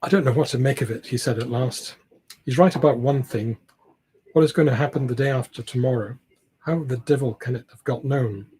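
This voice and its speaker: Man reading as a husky, genial English gentleman. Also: medium pitch, lightly acted. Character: genial, shrewd upper-class government gentleman in a novel.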